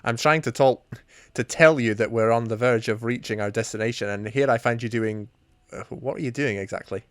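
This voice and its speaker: A man's voice: mid-range voice, fairly quick, no room sound, studio quality, close to an everyday voice. Man doing a prim, exasperated Scottish alien woman. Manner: formal, fussy, wordy.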